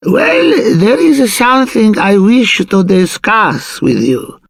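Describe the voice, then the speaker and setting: High, creaky, pious village leader. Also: male voice, huge pitch swings, no room sound, heavily acted. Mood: solemn, welcoming. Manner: formal, measured. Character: courteous, pious village leader, solemn and hospitable.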